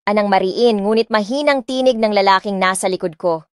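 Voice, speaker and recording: A young woman narrating a first-person romance audiobook, expressive and switching between two languages. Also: medium pitch, a little fast, smooth, no room sound, studio quality.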